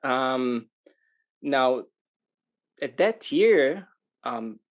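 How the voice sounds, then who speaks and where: A man from Israel speaking as a podcast interview guest, conversational, often pausing mid-phrase as he explains. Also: mid-range voice, animated, highs cut off. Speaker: interview guest, sustainable tourism organization manager.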